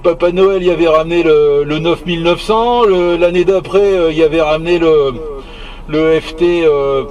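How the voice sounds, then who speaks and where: A man's voice: on the high side, normal speed, darkish tone, slight background noise. A man chatting casually over CB radio as a hobbyist, searching for words and repeating himself.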